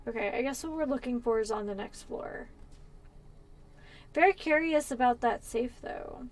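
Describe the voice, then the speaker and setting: A woman's voice: on the high side, moderate pace. A woman from Pennsylvania with a Californian accent, chatting casually and rambling as she streams a game. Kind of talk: casual gameplay chat.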